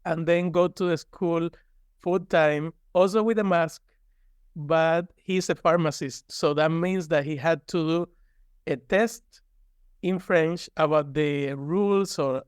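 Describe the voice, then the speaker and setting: Male interview guest, Cuban accent, native Spanish speaker. Clear, smooth tone, candid conversational delivery, dry close recording. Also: a little high, unhurried, no echo. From Cuba. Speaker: guest, university professor.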